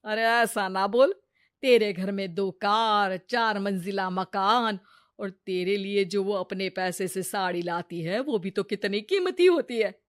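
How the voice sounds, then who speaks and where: A woman plays a nagging mother-in-law in a cartoon story. She scolds and pushes at a middle pitch, and the sound is dry and close. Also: measured pace, no echo.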